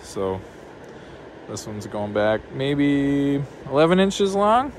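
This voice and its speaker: A man casually vlogging while fishing outdoors on a rocky riverbank, upbeat and excitable, recorded on a body-worn camera. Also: medium pitch, slow pace. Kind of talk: casual outdoor vlog narration. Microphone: body-worn action camera. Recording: noisy outdoor camera audio. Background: passing train, wind and outdoor noise.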